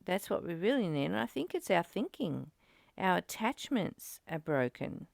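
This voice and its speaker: A woman's voice: fairly low pitch, big pitch swings, moderate pace, gravelly, no room sound, studio quality. A woman speaking earnestly in a reflective spiritual discussion, often repeating phrases as she goes. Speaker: study group host reading and commenting. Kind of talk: reflective spiritual discussion, partly read aloud.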